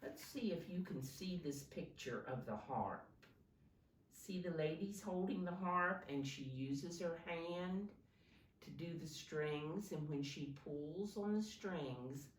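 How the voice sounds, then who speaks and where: A woman's voice: deep voice, slow. A woman reading a picture book aloud to young children like a teacher, explaining simply and prompting her listeners. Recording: roomy, distant microphone, faint background noise.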